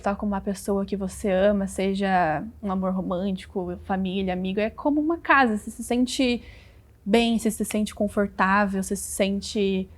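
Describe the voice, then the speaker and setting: A twenty-year-old woman, giving interview answers in a reflective way and often pausing to search for words. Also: medium pitch.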